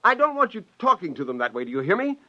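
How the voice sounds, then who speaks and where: A man's voice: sits high, very animated, fast. An actor playing an exasperated father and scheming inventor in 1950s radio drama, blustering in dialogue and narrating his own story. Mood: agitated. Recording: dull vintage 1950s radio recording.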